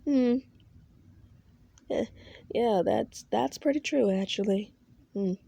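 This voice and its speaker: A woman's voice: on the high side, slow pace, vocal fry, mellow, husky, dry recording, full character voice. A woman voicing an affectionate male coworker who stammers when nervous. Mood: tender.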